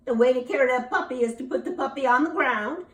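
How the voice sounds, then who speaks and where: A woman voicing an exasperated, scolding mother opossum in a storybook, with drawn-out, dramatic emphasis and repeated admonishments. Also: high-pitched, fairly bright tone, roomy sound, recorded from a distance, voice pushed up.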